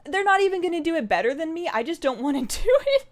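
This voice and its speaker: A woman in her twenties chatting casually on a live game stream, rambling along in a bubbly, animated and giggly way. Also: extremely high pitch, fast talker. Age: 20s. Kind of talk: casual live stream chat while gaming.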